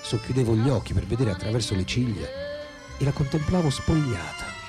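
An actor playing an ageing, self-absorbed philosopher in a radio drama, speaking lines in a theatrical, deliberate, emphatic way. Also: male voice, mid-range voice, expressive, over music, close to an everyday voice. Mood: wistful, animated. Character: famous philosopher as old man, wry, intense, self-absorbed.

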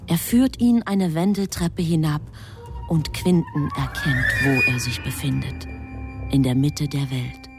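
A woman's voice: low-pitched, steady tone, a little slow. A woman performing as the grave, composed narrator of a literary radio drama. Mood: measured, mysterious. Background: music.

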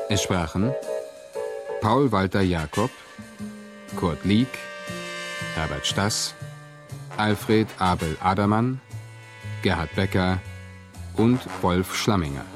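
A man with a very deep voice makes a formal radio announcement. He reads slowly and deliberately. Music plays clearly behind him in this 1960s broadcast. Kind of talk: formal scripted credits announcement.

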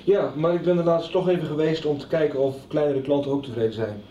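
A man's voice: fairly high pitch, fairly even intonation, fast, dark tone, natural voice. A man playing a polite, slightly defensive sales employee in a radio soap, with deferential, clipped replies. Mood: deferential, guarded. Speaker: actor in a radio serial. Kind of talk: 1990s Dutch radio soap acting. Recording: roomy, distant, dull, faintly noisy sound.

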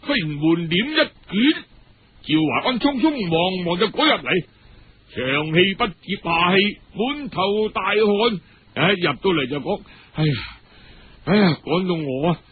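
A man with a Cantonese accent telling a story as a dramatic, husky-voiced narrator. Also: high-pitched, big pitch swings, measured pace, a little hiss or hum. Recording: dull lo-fi radio-style recording.

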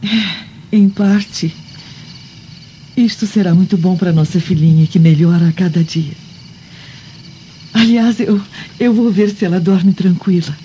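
A woman playing a gentle, wistful Roman wife and tender mother in a radio drama, with a soft, breathy delivery. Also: on the low side, unhurried, long pauses, a little hiss or hum, close to an everyday voice. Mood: wistful, hesitant. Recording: dull lo-fi audio.

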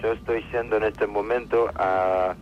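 An actor playing a shady, evasive political fixer with a Buenos Aires accent, chummy and rambling in a radio comedy sketch. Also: male voice, lowish voice, fairly even intonation, a little slow, noisy background, lightly acted. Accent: Argentine, Buenos Aires. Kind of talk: improvised radio sketch, mock interview. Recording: dull lo-fi phone line.

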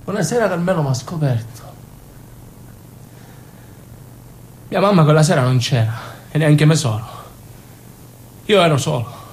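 A man's voice: fairly high pitch, animated, a little fast, slight background noise, poor audio. A man acting a naive, passionate young Sicilian man in an intense, confessional stage monologue with a Sicilian accent. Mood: hushed confession. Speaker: stage actor. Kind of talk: theatrical monologue in dialect.

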